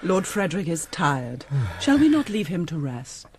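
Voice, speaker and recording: An actress playing a pious, dutiful princess and mother in a gothic audio drama, formal and earnest, with a slightly husky voice. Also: lowish voice, sing-song, normal speed, darkish tone, slight background noise.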